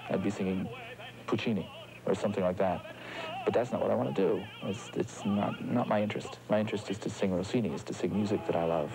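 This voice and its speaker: A man's voice: on the low side, creaky, crowd noise, low-quality recording. A middle-aged man, an opera singer, talking thoughtfully in an interview, stopping and restarting often. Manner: halting, thoughtful, many restarts. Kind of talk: reflective interview talk.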